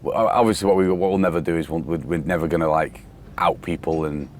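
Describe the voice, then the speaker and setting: Man, UK, very deep voice, hoarse, rambling podcast chat, faint outdoor noise. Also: quick pace. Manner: relaxed, rambling, lots of fillers and restarts. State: voice going from shouting. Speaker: podcast host, security company boss. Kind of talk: casual chat. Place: outdoors on a festival site during breakdown. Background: faint site noise and vehicles.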